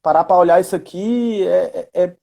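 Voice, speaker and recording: A woman's voice: lowish voice, moderate pace, gravelly, warm tone. A woman with a Brazilian accent explaining things in a casual live chat, in a didactic way, often checking for agreement with little tag questions. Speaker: guest explaining role-playing games in education and work.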